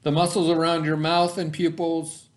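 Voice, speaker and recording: Higher-pitched male teacher reading aloud. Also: monotone. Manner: pauses to explain, checks in with "okay?". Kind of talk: read-aloud lesson with casual asides.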